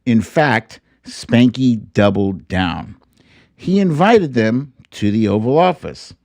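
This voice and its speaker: Theatrical male host with swooping, unhurried delivery. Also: medium pitch, a little mellow. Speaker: host of a live online storytime show. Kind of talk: theatrical storybook reading mixed with casual livestream chat.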